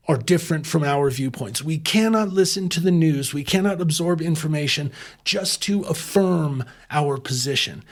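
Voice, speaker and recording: An impassioned man in a sit-down conversation. He presses his points hard, circles back and restarts sentences as he builds. His voice sits a little high for a man. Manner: impassioned, emphatic, with frequent restarts. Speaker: interview guest, former chef turned humanitarian. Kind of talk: conversational interview.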